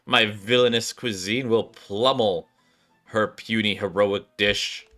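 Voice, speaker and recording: A man voicing a haughty, theatrical video game villain who boasts in a pompous, drawn-out manner. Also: fairly low pitch, steady tone, sharp tone, soft background music.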